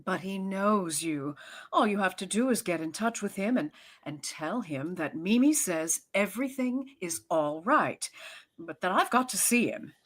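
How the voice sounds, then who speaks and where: A woman's voice: medium pitch, lively intonation, a little slow. Woman playing theatrical, swooping society mother. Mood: wheedling. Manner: gushing, theatrical, coaxing. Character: dramatic, fretful society mother, wheedling and self-pitying. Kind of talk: 1930s radio drama acting.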